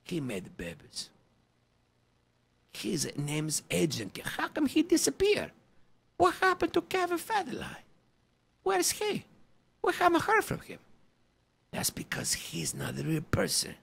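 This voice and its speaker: This is a comic conspiracy rant in broken English, full of wild leaps between shouting and muttering. The voice is bright and forceful with a gravelly rasp. The pitch is very lively throughout. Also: measured pace, pauses often.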